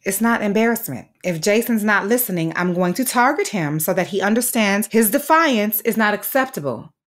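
An actress playing a dedicated, firm but anxious third-grade teacher in an audio drama, speaking in a measured, articulate, formal way. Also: female voice, mid-range voice, expressive, a bit bright, close to an everyday voice.

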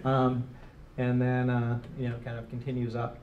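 A middle-aged man from Detroit talks into a handheld mic in a small room, loose and rambling. His voice sits at an ordinary pitch. The recording is roomy, a bit distant, with a faint hiss. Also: monotone, normal speed, highs cut off. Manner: rambling, many fillers and restarts. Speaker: interviewed designer. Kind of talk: casual onstage interview. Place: small gallery room, live audience. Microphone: handheld microphone.

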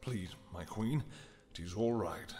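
A man's voice: lowish voice, measured pace, a little mellow, faint music behind, pitched below the performer's own voice, close to an everyday voice. A man voicing a deferential male royal servant who speaks politely and formally in an audio roleplay. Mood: soothing. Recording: long reverb, faint hiss.

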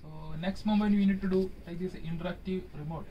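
A young man on a ship's crew demonstrating hotel TV setup in a small cabin, speaking halting English with fillers. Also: high-pitched, steady tone, far from the mic, lo-fi sound, a little hiss or hum. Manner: halting, explanatory, non-native English with fillers. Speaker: ship electrician demonstrating TV setup. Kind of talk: casual how-to demonstration. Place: small cruise ship cabin. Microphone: phone camera held by speaker.

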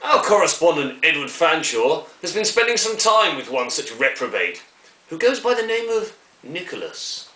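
A man's voice: on the high side, very animated, moderate pace, bright, forceful tone. A man playing a pompous, disdainful, old-fashioned current affairs presenter, announcing formally in a mock vintage broadcast style. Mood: pompous, grave. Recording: echoey, distant from the mic.

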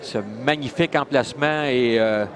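A man's voice: medium pitch, moderate pace. A man giving live sports commentary, hesitant and full of fillers and restarts. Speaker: color commentator. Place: shopping mall bowling event. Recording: loud crowd and noise behind.

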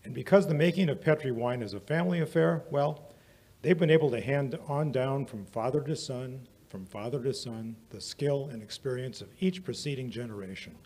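Man as husky old-time radio announcer. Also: medium pitch, normal speed, darkish tone. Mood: hearty selling. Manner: hearty, salesmanlike. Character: eager 1940s radio announcer, folksy sponsor pitchman. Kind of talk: 1940s radio acting. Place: small indoor museum studio stage. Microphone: vintage-style stand microphone.

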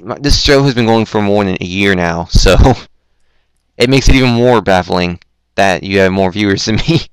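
Rambling young man, smooth low voice. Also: no echo, poor audio. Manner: rambling, self-deprecating, stumbling restarts. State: throat going hoarse. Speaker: podcast host. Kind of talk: casual chat on a Skype call.

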